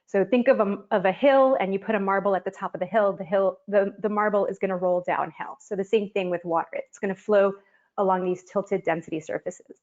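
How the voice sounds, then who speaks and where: A woman's voice: mid-range voice, fairly even intonation, fairly quick, a little mellow. Woman, from Miami, oceanographer, friendly explainer, webcam call, dull lo-fi audio. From Miami, Florida. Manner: friendly, explanatory, with frequent ums. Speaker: physical oceanographer. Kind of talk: online science presentation and demo. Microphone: webcam on a video call.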